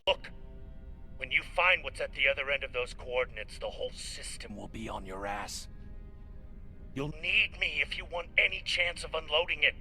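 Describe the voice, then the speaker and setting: Sharp, gravelly, desperate scavenger boss. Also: male voice, mid-range voice, lively intonation, moderate pace, faint music behind, poor audio. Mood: urgent bargaining. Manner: gruff, profane, pleading. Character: desperate, self-serving male spaceship captain begging for his life. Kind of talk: dramatic video game acting.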